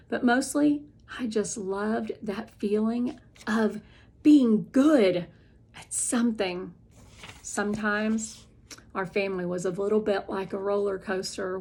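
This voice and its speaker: Woman doing a kids book read aloud, really slow and deliberate, expressive, mid pitch. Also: creaky.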